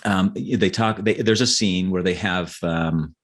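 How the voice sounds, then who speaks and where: A man's voice: sits low, unhurried. A man talking as an author in a conversational podcast interview, earnest and explanatory, often restarting his phrases.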